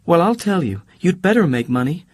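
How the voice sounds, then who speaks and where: A man's voice: a little high, very animated, a little fast, no echo, pitched above the performer's own voice. Man doing a breezy, mocking young woman. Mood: cold. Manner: breezy, teasing, sharp-tongued. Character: brash, flighty young woman, mocking and theatrical. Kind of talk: audiobook character voice.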